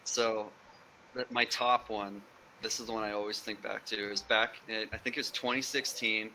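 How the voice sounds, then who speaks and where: Bright-voiced young man telling a story. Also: fairly low pitch, moderate pace, a little hiss or hum, poor audio. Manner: animated storyteller, acts out quoted people. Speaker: guest. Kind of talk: casual storytelling.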